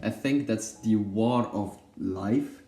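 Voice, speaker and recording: A man's voice: fairly low pitch, slow, warm tone, echoey room. A young Belgian man whose first language is Dutch, chatting casually about films with lots of fillers, restarts and jokes. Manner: rambling, many fillers and self-corrections, joking. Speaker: film vlogger.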